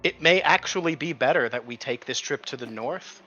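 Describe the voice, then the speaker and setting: A man's voice: mid-range voice, moderate pace, sharp tone, dull audio, faint music behind. A man talking at length as a tabletop game player, casually arguing out strategy with some mild swearing. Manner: talkative, argues points at length, mild swearing.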